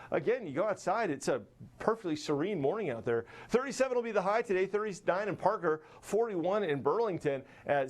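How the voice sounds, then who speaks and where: An American man giving a live TV weather forecast in an upbeat, chatty, conversational way. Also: a little high.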